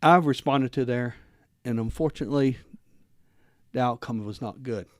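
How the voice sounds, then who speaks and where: A male interview host with a medium-pitched voice, recorded dry and close to free of room sound. He talks in an informal, wordy way, circling and repeating phrases as he goes. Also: moderate pace, dry recording. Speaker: guest host, former police officer. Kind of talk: conversational interview hosting.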